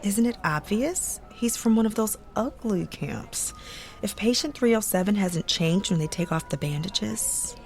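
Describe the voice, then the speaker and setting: A woman acting a brisk, polite nurse with a disdainful streak in a radio drama. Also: mid-range voice, animated, a little mellow, faint music behind. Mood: scornful.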